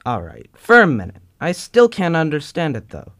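An awkward, defensive young man acted by a teenage boy grumbles through a radio play courtship. The voice is clear and smooth, recorded dry. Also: on the high side, wildly swooping pitch, normal speed, a bit bright, no room sound. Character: awkward, defensive, grumpy young male suitor.

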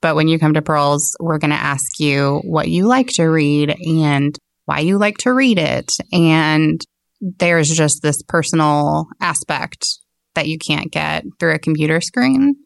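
American woman, low pitch, noticeable vocal fry, casual explanatory talk, dry studio-close sound. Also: a little slow, no room sound. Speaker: bookstore owner, interview guest.

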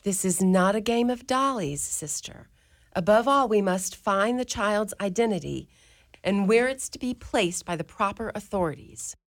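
Woman doing a bossy mother superior, lively lilting voice. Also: medium pitch, normal speed, natural voice. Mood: stern. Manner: commanding, then cooing. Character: brisk, stern but tender Irish-style Mother Superior.

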